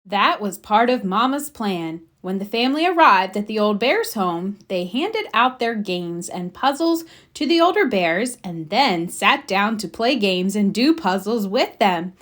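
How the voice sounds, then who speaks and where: A woman reading a children's storybook aloud, with expressive, emphatic narration for young listeners. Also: medium pitch, measured pace, hardly any pauses. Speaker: storybook reader.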